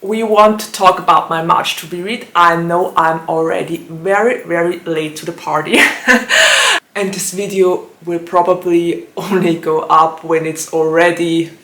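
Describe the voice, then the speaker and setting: Slow, bright, slightly raspy woman. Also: on the low side. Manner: giggles often between phrases. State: hoarse from allergies. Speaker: book vlogger. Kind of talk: casual book vlog chat. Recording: echoey room.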